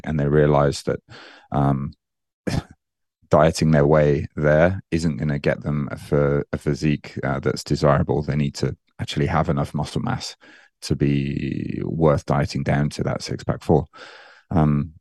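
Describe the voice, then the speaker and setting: Brummie man, extremely deep voice, dry close sound, thoughtful guest. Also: little pitch movement, measured pace, a little mellow, no room sound. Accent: Birmingham English. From England. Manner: thoughtful, many fillers and restarts. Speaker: guest, nutrition and training coach. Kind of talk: casual podcast interview.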